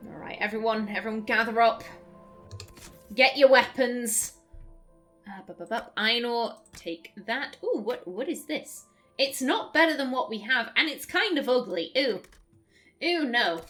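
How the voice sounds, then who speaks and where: A woman's voice: on the high side, expressive, a little slow, a bit bright, faint music behind. A young woman chatting through gameplay as a let's play host, giggling and stumbling over her words. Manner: chatty, giggly, self-interrupting. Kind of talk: casual gameplay commentary.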